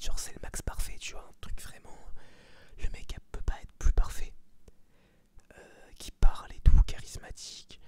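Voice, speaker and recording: An eighteen-year-old man whispering a casual, opinionated ASMR review in slangy, crude, informal language. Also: warm tone. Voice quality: breathy unvoiced whisper. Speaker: ASMR video creator giving a film review.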